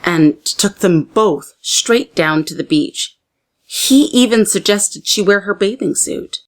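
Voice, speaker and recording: A woman narrating a children's story aloud as a read-aloud storyteller, with expressive, deliberate phrasing meant for young listeners. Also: mid-range voice.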